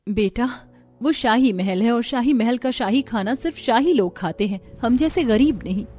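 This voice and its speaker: A woman voicing a poor mother in a cartoon, gentle and resigned. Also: fairly high pitch, moderate pace, close to an everyday voice. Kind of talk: cartoon voice acting.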